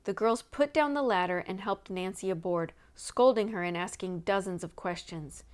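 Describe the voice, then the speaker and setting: A woman's voice: medium pitch, moderate pace. A woman narrating a children's mystery novel as a scripted read-aloud. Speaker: audiobook reader.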